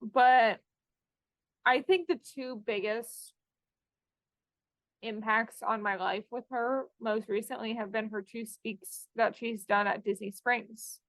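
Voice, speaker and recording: A woman's voice: fairly high pitch, fairly even intonation, slow pace, fairly bright tone, no room sound. A woman hosting a podcast, telling anecdotes in a chatty, enthusiastic fan style. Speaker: podcast host. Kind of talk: casual fan chat and anecdotes.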